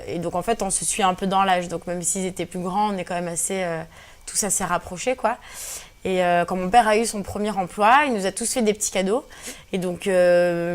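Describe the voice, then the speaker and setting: A woman in her twenties from Algeria, a native Berber speaker, answering interview questions earnestly with many hesitations and fillers. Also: fairly low pitch. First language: Berber (Kabyle). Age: 20s. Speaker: guest activist.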